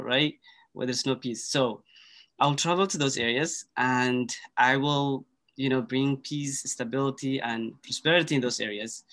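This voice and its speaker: Earnest Somali-native man, measured podcast guest, middle-range voice. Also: mid-range voice, fairly bright tone. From Kenya. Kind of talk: casual conversation.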